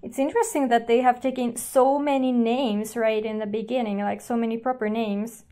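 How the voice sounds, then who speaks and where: A woman's voice: fairly high pitch, fairly even intonation, moderate pace, mellow. A woman with a Finnish accent, a native Finnish speaker and teacher, reviewing a language app and explaining as she goes. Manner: explains and comments while trying the app. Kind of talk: app review, talking to camera.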